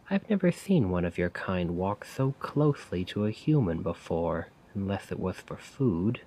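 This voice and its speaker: A man playing a weary, self-doubting failed poet in a soft-spoken, rambling ASMR roleplay monologue. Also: lowish voice, exaggerated voice. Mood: irritated, weary. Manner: slow, brooding, rambling. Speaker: roleplay audio performer.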